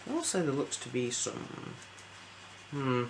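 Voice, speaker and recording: Very creaky, soft-spoken ASMR optician voice. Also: mid-range voice, very animated, measured pace, background noise. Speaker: ASMR performer acting as an optician. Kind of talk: ASMR eye exam roleplay.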